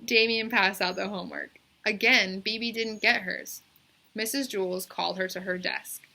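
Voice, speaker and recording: A woman's voice: medium pitch, fairly even intonation, moderate pace, gravelly, sharp tone. A woman reading a storybook aloud, narrating expressively for children. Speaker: storybook reader.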